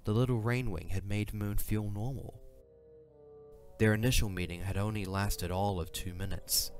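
A man with a deep voice reads dramatic story narration. The delivery is scripted, in the style of an audio drama. Faint music plays behind him. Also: fairly even intonation, normal speed.

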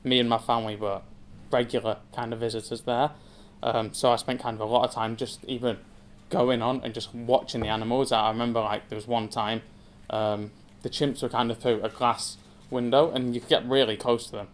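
A young man in his twenties from England speaks at a lectern in a wood-panelled room before a seated audience. His delivery is hesitant and casual, peppered with ums and light self-deprecation, at an ordinary mid pitch. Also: flat delivery, a little fast, few pauses. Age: 20s. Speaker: zoo community engagement assistant giving a talk. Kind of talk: personal story talk to an audience.

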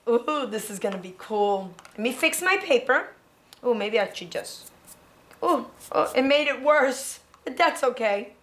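A middle-aged woman teaching preschoolers in a classroom, talking young children through each step in an encouraging, animated way. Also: high voice. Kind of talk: children's educational demonstration. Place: indoor classroom.

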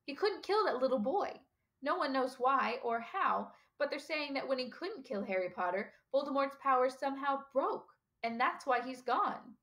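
A woman's voice: fairly high pitch, expressive, moderate pace, a bit bright, voice pushed up. A woman voicing a stern older female professor in an audiobook, with a clipped, emphatic, impatient manner. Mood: shaken, astounded. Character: stern older female professor, brisk, indignant, easily ruffled. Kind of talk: audiobook character dialogue.

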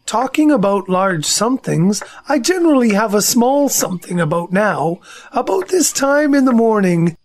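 A man voicing a gentle, simple-minded young male bear who muddles long words, in an amateur family audio drama. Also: high-pitched, expressive, moderate pace. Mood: musing.

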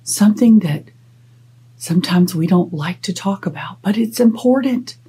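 A woman's voice: mid-range voice, animated, moderate pace, mellow, slightly rough. A middle-aged woman hosting a children's storytime as a librarian, instructive and playfully dramatic. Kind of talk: children's storytime talk and read-aloud. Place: small indoor space, plain wall.

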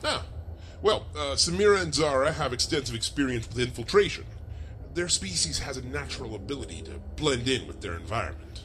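An actor playing an authoritative, amiable rebel ship captain in a businesslike manner in a sci-fi audio drama. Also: male voice, medium pitch, sing-song, fairly quick, a bit bright, slight background noise.

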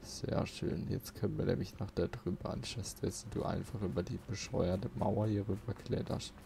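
Deep-voiced, gravelly man muttering over a game. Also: steady tone, moderate pace. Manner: grumbling, muttering to himself, mild swearing. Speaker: let's player. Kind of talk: casual gameplay commentary. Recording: noisy, game sound behind voice. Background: video game ambience.

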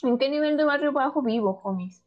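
A young woman with a northern Mexican accent talks on a stream in a candid, slangy, laid-back way. Her voice sits a little high, and the audio sounds slightly dull. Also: brisk. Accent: northern Mexican Spanish. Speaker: podcast co-host. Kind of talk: casual chat.

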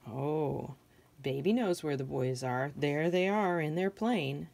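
American woman, middle-aged, children's storytime reader; low pitch with noticeable creak, asks the young listeners questions. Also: slow, darkish tone. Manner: simple questions and observations addressed to children. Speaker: picture book reader for children. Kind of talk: read-aloud storytelling with asides to young listeners.

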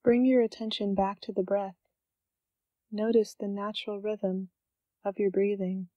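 A woman leading a scripted guided meditation, giving gentle, calm instructions with unhurried pauses. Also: mid-range voice, no room sound, dull audio.

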